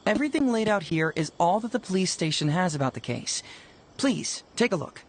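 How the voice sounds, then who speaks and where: A man's voice: sits high, very animated, fairly quick, slight background noise. An actor playing a confident, playful young male detective in a video game, explaining things breezily.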